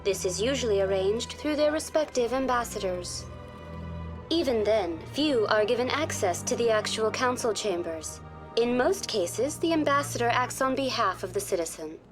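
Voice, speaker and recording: An actress playing a polite virtual tour guide program on a space station, speaking in formal, measured informative narration for a video game. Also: female voice, on the high side, expressive, over music, natural voice. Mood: polite, neutral.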